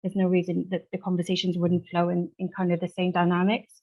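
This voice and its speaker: A woman speaking off the cuff as a support worker in a group discussion, earnest and halting as she pieces her sentences together. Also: on the low side, completely flat delivery, muffled recording. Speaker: support worker, survivor engagement officer. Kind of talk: unscripted contribution to a group discussion.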